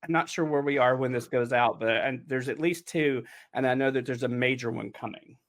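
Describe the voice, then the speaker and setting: A man's voice: medium pitch, a little fast. A man hosting a casual behind-the-scenes podcast chat, jokey and rambling, with some casual swearing.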